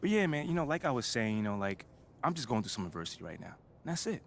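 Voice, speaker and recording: A man's voice: medium pitch, big pitch swings, fast, slight background noise. An actor playing a laid-back, upbeat young male basketball rookie using casual slang. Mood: easygoing. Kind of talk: video game cutscene acting.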